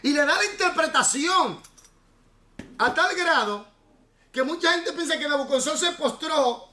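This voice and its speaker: A male pastor teaching a Bible class, his voice pitched high for a man and ringing bright and sharp. He explains at length and stops to quiz his students. The sound bounces around an echoey church room. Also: expressive, normal speed.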